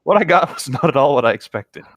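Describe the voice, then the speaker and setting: A playful man in casual group banter, his pitch swinging in a lively way around a middle register. The recording is dry, with hardly any room around the voice. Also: fast, no echo. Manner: joking, playful. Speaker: co-reader in a group creepypasta reading. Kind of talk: casual banter with read-aloud story lines.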